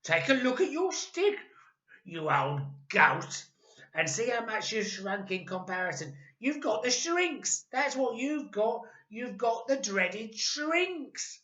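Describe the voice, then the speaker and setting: A woman voicing a nasty old husband who taunts his wife loudly and slowly. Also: fairly high pitch, big pitch swings, pitched above the performer's own voice, full character voice. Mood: taunting. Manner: loud, slow, mocking taunts. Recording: echoey room, dull lo-fi.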